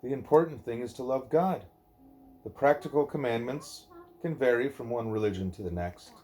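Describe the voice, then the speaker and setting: Male reader, mid-pitched and very mellow, deliberate scripted narration of a spiritual dialogue. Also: soft background music. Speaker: reader of a devotional book; parent reading aloud at a child's bedtime.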